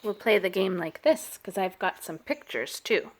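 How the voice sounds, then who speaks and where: A woman childcare educator telling stories and playing with toddlers in a sing-song, animated, child-directed way, using simple phrases. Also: medium pitch, normal speed. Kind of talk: sing-song picture book storytelling and play for toddlers.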